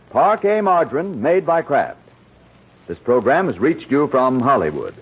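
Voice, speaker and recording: A man's voice: fairly high pitch, breathy edge. A man working as a radio announcer, reading a sponsor's advertisement persuasively in 1940s style. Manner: emphatic, persuasive. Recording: muffled 1940s radio recording.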